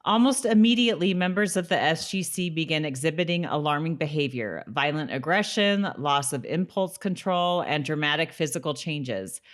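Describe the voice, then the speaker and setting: A woman's voice: sits low, animated, normal speed, few pauses. A woman co-hosting a podcast, chatting in an informal, amused way as she recaps a TV episode. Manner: amused, informal, mimics characters' lines mockingly. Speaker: podcast co-host. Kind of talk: casual recap chat about a TV episode, with some read-out summary.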